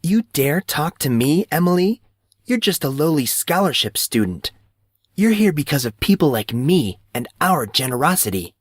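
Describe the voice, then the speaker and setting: A man's voice: a little high, very animated, normal speed, slightly rough, dry recording, studio quality, voice pushed up. A man voicing an arrogant, sneering teenage bully from a privileged family in an audiobook. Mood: sneering contempt. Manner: haughty, contemptuous.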